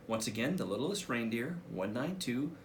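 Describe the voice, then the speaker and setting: A man, a school principal, reading a picture book aloud for children in an expressive way, with casual asides. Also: mid-range voice, normal speed, slight background noise. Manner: expressive, addresses children directly.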